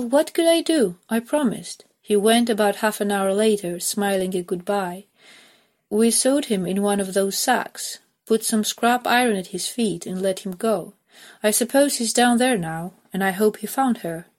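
Woman narrator reading an old dramatic story, medium pitch. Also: darkish tone.

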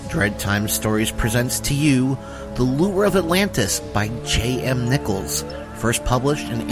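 Guy hosting a horror story podcast, scripted intro, music clearly playing under him. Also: medium pitch. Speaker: host of a horror fiction podcast. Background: incidental music.

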